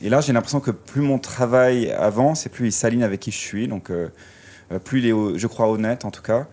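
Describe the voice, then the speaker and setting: A man's voice: medium pitch, brisk, darkish tone. A man guest in a radio interview, speaking reflectively with many hesitations and restarts, through a surgical mask. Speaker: musician guest. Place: outdoors in a city street. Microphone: wearing a surgical mask.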